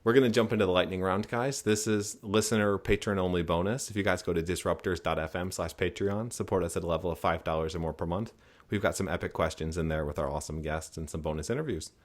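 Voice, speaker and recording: A man hosting a podcast, asking direct questions and pitching bonus content in an upbeat way. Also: quick pace. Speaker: podcast host. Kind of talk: interview questions and promos.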